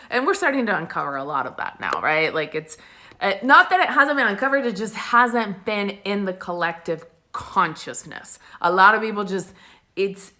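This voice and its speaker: A woman's voice: sits low, pushed and bright. A woman in her forties from Italy, a spiritual coach talking casually to live stream viewers with many fillers. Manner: casual, chatty, many fillers and frequent laughs.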